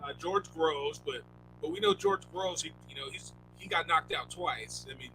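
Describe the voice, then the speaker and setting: A man co-hosting a casual sports podcast, talking expressively with sharp jumps in pitch on key words. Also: wildly swooping pitch, normal speed, vocal fry. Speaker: podcast co-host. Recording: noisy line with hum.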